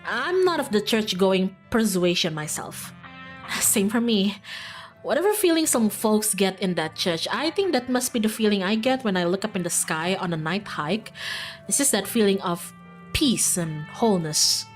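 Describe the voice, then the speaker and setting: A chatty young small-town woman from a visual novel, read out by a woman streamer. She is upbeat and easygoing, with lively swings in pitch. Music plays softly underneath. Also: normal speed, lightly acted. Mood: easygoing, candid. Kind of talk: visual novel character reading. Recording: music in the background.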